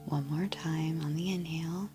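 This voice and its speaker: A woman guiding a yoga nidra relaxation in a soothing, gentle, unhurried way, with soft, breathy phrase endings. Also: deep voice, fairly even intonation, over music. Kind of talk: guided relaxation meditation.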